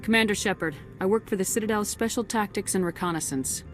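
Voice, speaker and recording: An actress playing a blunt, no-nonsense military commander in a video game, terse, firm and direct. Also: female voice, medium pitch, quick pace, soft background music, close to an everyday voice. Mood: terse, businesslike. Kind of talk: scripted video game acting.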